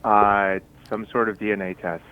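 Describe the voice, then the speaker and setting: A man's voice: fairly low pitch, flat delivery, normal speed, dry recording. A man answering an unscripted phone call. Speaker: person receiving a phone call. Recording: muffled, lo-fi phone line.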